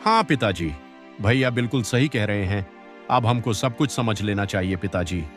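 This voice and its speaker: A man's voice: lowish voice, animated, moderate pace, faint music behind, close to an everyday voice. A man playing a lazy, compliant younger son in a cartoon moral story. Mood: whiny agreeing.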